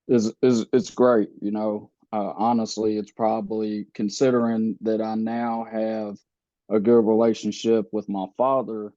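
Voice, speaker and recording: A man in his thirties, born in the Philippines and raised in the US, telling his life story slowly with many pauses and fillers. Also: lowish voice, warm tone, dry recording, dull audio. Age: 30s. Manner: slow, halting, many fillers and restarts. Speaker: podcast guest.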